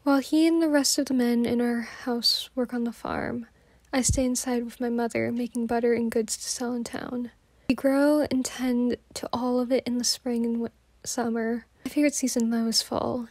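Wistful, plain-spoken farm girl, acted by a woman, higher voice, recorded dry, for an oral-history monologue. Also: little pitch movement, normal speed, a little mellow, no echo. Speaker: student performer.

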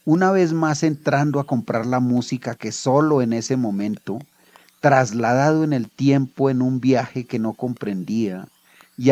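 A man's voice: medium pitch, darkish tone, highs cut off. A man with a Colombian accent, a writer reading his own literary short story aloud.

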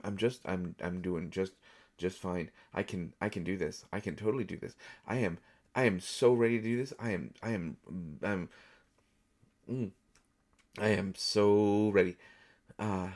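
Casting: a man as a nervous, overeager male actor for audio roleplay; stammers, repeats himself, very animated pitch. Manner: stammering, breathless rambling, repeating words. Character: jittery, overexcited male actor, over-caffeinated and anxious. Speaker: online audio roleplay performer. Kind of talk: solo audio roleplay acting, one side of a conversation.

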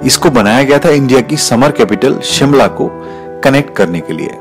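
A man's voice: mid-range voice, lively intonation, fairly quick. A man narrating a travel video as scripted voice-over, addressing viewers directly as the host. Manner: addresses viewers directly, switching into English phrases. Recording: loud music under the voice. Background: loud instrumental music.

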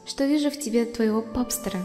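A tender, motherly female ghost played by a woman with a mellow voice swimming in long reverb. She speaks wistfully and gently, as in a dubbed comic drama, with music underneath. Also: fairly high pitch, fairly quick, lightly acted. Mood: caring, earnest. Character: gentle motherly ghost of a dead skeleton monster. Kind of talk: acted comic dub.

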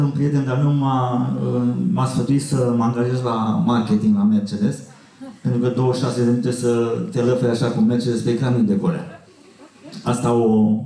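A man's voice: mid-range voice, warm tone. A middle-aged man addressing an audience informally from a festival stage, in a wry, digressive manner. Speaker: film director at festival Q&A. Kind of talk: informal spoken presentation to an audience. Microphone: handheld mic, heard from far camera. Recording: echoey hall, distant camera pickup.

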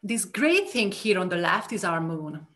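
A woman's voice: lowish voice, very animated, measured pace, fairly bright tone. A woman in her thirties from Hungary, an astrophysicist giving a friendly, explanatory science talk over a video call from home. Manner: friendly, explanatory, points things out on slides. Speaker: astrophysicist guest speaker. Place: home room.

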